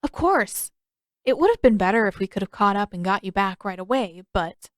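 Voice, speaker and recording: A woman's voice: mid-range voice, animated, normal speed, no echo, studio quality, lightly acted. A woman voicing an earnest, sheepish young boy in an audiobook, hesitant and a little defensive. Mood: earnest, apologetic.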